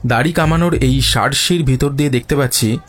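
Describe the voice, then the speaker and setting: Casting: male actor for sharp, self-assured sleuth, middle register, calm reasoning, audio mystery with musical bed. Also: mid-range voice, natural voice. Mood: calm, confident. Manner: measured, reasoning, dryly ironic. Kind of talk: dramatised Bengali detective audio story. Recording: music behind the voice.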